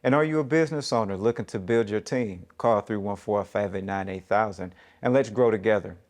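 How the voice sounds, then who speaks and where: Low-voiced man hosting an interview. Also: brisk, darkish tone. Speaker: show host interviewer. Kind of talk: interview hosting on a local TV show.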